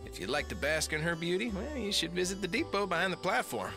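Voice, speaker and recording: An actor playing an effusive, boastful railway enthusiast in a video game cutscene. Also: male voice, high-pitched, sing-song, quick pace, vocal fry, music in the background, heavily acted. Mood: adoring, proud. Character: gushing male railway enthusiast, adores his engine.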